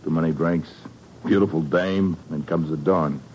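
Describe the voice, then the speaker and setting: A man's voice: a bass voice, fairly even intonation, normal speed, darkish tone. An actor playing a rueful, defensive American construction supervisor in a 1950s radio drama, talking tough, regular-guy slang. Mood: rueful, casual. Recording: noisy old radio recording.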